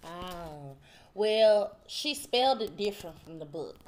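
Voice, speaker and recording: A woman's voice: fairly low pitch, animated, slow, darkish tone, a little hiss or hum. A woman talks casually with family, explaining things conversationally. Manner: conversational, explaining, quoting others' remarks. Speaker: granddaughter recording her grandmother.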